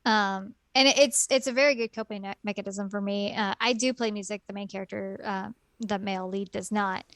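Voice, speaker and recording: A woman's voice: medium pitch, fairly even intonation, brisk, a bit bright, no echo. A woman co-hosting a casual podcast chat, rambling and giggly with lots of fillers. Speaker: podcast co-host.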